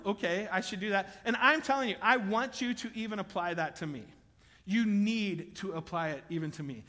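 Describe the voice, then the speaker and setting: A man preaching a sermon in a church auditorium, chatty and joking with the congregation, then building to loud, emphatic bursts. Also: sits high, big-room sound. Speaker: pastor.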